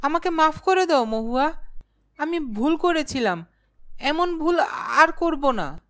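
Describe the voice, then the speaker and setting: A woman's voice: sits high, lively intonation, slow pace, fairly bright tone, full character voice. A woman voicing a guilty, cowardly young male blackmailer in a horror cartoon, with a pleading manner. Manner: pleading, stammering when frightened. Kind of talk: dramatic horror cartoon acting.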